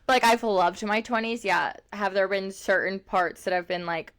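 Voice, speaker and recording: Twenties woman co-host, loose and chatty, leans on "like", laughs easily, medium pitch. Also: normal speed, a bit bright. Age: 20s. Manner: chatty, rambling, heavy use of "like", laughs often. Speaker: podcast co-host. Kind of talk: casual chat.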